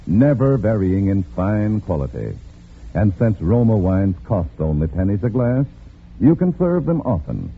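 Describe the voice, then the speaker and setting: A man's voice: fairly low pitch, slightly rough. A man reading a polished 1940s radio advertisement as commercial announcer. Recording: thin phone-like old radio recording.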